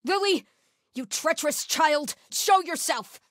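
A grim, zealous woman soldier of a religious cult for a video game. Her voice is high and bright, with a stern, solemn edge, recorded dry and close. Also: lively intonation, measured pace, dry recording. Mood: stern, angry. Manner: solemn, stern, swinging from hushed muttering to shouted alarms. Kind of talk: video game combat voice lines.

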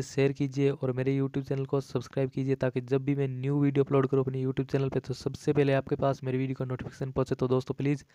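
Guy doing an app how-to voiceover, super flat pitch, dry close sound, keeps repeating steps. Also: clean tone, no room sound. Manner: repetitive, instructional, addressing viewers as friends. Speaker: tech tutorial YouTube host. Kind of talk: casual step-by-step app tutorial.

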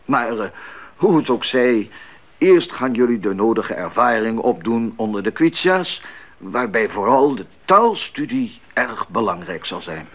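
An actor playing an older missionary doctor in a radio drama, an earnest and genial mentor who explains things deliberately and a little formally. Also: male voice, mid-range voice, sing-song. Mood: earnest, concerned. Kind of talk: 1980s radio drama acting. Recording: muffled band-limited 1980s radio recording.

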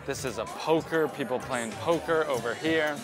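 A man's voice: mid-range voice, normal speed, over music, a crowd in the background. Chatty man vlogging a ship tour. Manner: chatty and upbeat, talking straight to camera. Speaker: cruise vlogger. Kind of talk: walking tour vlog. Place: cruise ship interior and decks. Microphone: handheld camera at arm's length.

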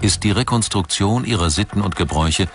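A man delivering scripted documentary narration in a measured, formal storytelling manner. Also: sits low. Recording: music bed under voice. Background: background music.

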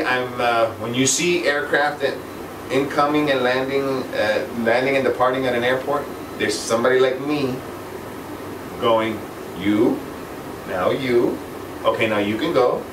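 A man's voice: mid-range voice, a little slow. A middle-aged man casually explaining his job as an air traffic controller in an interview. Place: small office indoors. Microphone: camera mic at a distance. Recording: echoey room, distant mic, air-conditioning noise.

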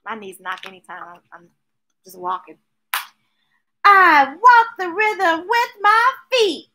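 A woman's voice: very high voice, slow pace. A middle-aged American woman hosting children's story time, reading a picture book expressively with playful, rhythmic delivery and chatty asides. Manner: expressive, playful, chanting repeated words rhythmically. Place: small indoor room with shelves of books. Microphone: webcam or device at arm's length.

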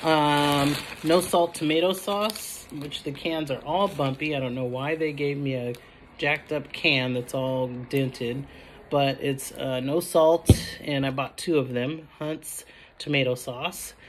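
A woman's voice: deep voice, steady tone, slow, a little hiss or hum. A middle-aged or older American woman chatting casually to the camera in a rambling, self-correcting way. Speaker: vlogger showing a grocery haul. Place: indoor room at home.

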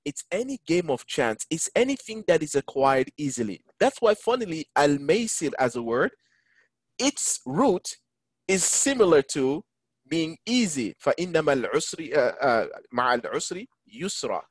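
A man teaching an online Quran lesson, engaging his listeners and reciting Arabic verses quickly. English is not his first language. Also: on the high side, very animated, husky, no echo. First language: neither Arabic, English nor French. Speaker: imam teaching a Quran class. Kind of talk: online Quran lesson over a video call. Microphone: video call.

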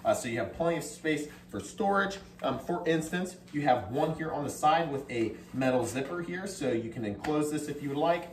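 A man's voice: on the high side, lively intonation, normal speed, few pauses. A young man in his twenties giving an upbeat, casual product review at home, with lots of fillers as he walks around demonstrating. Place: home kitchen, indoors. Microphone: camera mic from across the room. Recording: roomy kitchen, voice from a distance.

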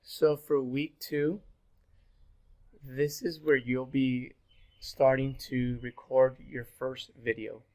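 A man in his thirties, a little sick and hoarse, giving a pre-recorded online class as instructor, relaxed and full of fillers. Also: medium pitch, slow. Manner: relaxed, filler-heavy, repeats "go ahead". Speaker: university instructor. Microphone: webcam in corner of screen recording.

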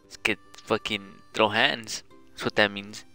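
A woman's voice: extremely low pitch, steady tone, a little slow, pushed and bright, faint music behind. A woman streamer chatting casually and playfully bossing her friend around during a game stream. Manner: playful, bossy, coaching. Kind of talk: casual chat while gaming.

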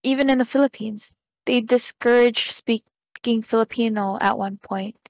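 A young woman speaking as a podcast guest over a headset from a small home room, earnest and measured as she thinks things through. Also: a little high, a little mellow. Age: young adult. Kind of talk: casual conversation.

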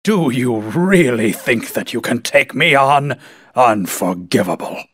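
Haughty game sorcerer, pompous and theatrical, measured pace, animated intonation. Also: male voice, medium pitch, husky, dry recording, studio quality, heavily acted. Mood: haughty contempt. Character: haughty scholarly male sorcerer, proud and power hungry. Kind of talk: video game villain acting.

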